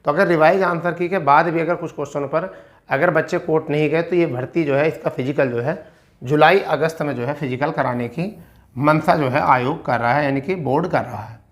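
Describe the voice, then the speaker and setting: A man's voice: on the high side, a little fast. A man giving an informal explainer to students as a coaching teacher, repeating key phrases for emphasis. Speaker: exam coaching teacher giving recruitment updates.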